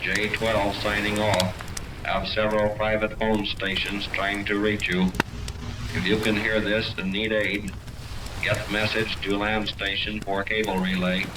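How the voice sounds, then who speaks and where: A far-off, noisy vintage radio signal carries a businesslike operator from a 1930s serial. His voice is lowish and very even, with formal, clipped calls sent out over the set. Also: measured pace. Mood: urgent, formal. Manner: clipped, formal, repetitive call-sign delivery. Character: businesslike male radio operator calling a lost station. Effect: distant radio transmission.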